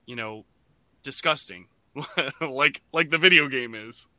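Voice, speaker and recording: A man co-hosting a podcast in casual, animated chat, excitable and quick to exclaim. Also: medium pitch, dry recording. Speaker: podcast co-host.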